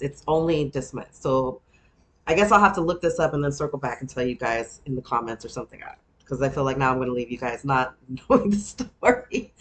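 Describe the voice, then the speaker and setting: Chatty Texan woman in her thirties. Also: sits low. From Texas, USA. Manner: chatty, rambling, self-deprecating, laughs often. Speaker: podcast host recapping a reality show. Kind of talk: casual solo chat.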